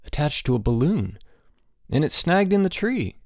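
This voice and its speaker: A man's voice: fairly high pitch, very animated, normal speed, gravelly, dry recording, clean studio sound, pitched above the performer's own voice. A man voicing a bold, take-charge schoolboy giving urgent instructions in a story reading. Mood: excited, dismayed.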